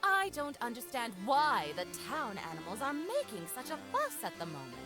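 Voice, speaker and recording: Vain magpie queen, very high voice, wildly swooping, flirtatious, greedy, theatrical, cartoon game, music behind. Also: normal speed. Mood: grand, self-satisfied. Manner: theatrical, haughty, drawling flattery. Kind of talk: cartoon adventure game voice acting.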